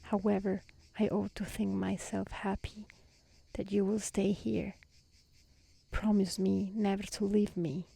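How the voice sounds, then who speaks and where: A woman voicing a gentle, lovesick beast in a soft-spoken, mournful, formal storybook reading. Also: medium pitch, level tone, measured pace, gravelly, slightly rough, exaggerated voice. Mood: sorrowful devotion.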